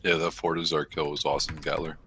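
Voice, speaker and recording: A man's voice: rumbling low, flat delivery, fast talker, pushed and bright, a little hiss or hum. A man chatting casually with a gaming group.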